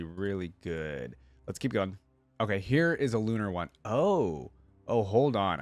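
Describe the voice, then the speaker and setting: A man's voice: lowish voice, no echo. A man giving casual, informal reaction commentary, thinking aloud as he goes with plenty of filler words. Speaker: reaction video host.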